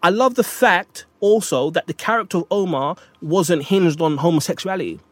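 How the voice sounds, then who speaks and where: A man talks casually with a co-host, his voice sitting a little high for a man. He is reflective and often repeats a phrase while he finds his point. The sound is dry and close. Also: normal speed, dry recording. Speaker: podcast co-host. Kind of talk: casual chat.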